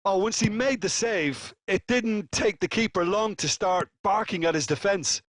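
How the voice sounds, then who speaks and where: A man's voice: high-pitched, moderate pace, a bit bright, no room sound. A man voicing an analytical football co-commentator in a video game, explaining play in a conversational way.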